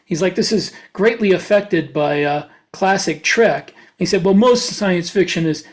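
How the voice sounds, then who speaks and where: Male podcast co-host, notably high-pitched voice, casual conversational delivery. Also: measured pace.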